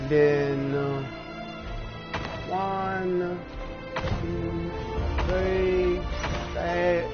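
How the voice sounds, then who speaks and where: Slow-talking high-voiced male gamer over music. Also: little pitch movement. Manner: muttering to himself, counting aloud. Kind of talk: casual gameplay commentary. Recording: dull game-stream audio with music behind. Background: clear game music.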